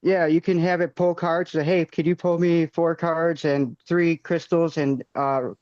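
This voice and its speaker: A man in his forties, talking as a guest in a casual interview. His voice is a bit high, and he speaks softly and hesitantly with many ums. The audio is dull and dry, like a remote call. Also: little pitch movement, unhurried, dry recording. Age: 40s. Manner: soft-spoken, hesitant, lots of ums. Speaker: podcast guest.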